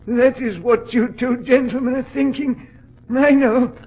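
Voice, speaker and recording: An actor playing an eccentric, frail old British recluse in 1940s radio style, with a husky, quavering delivery. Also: male voice, extremely high pitch, steady tone, unhurried, darkish tone, full character voice. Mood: agitated, accusing. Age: older. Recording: vintage radio drama recording.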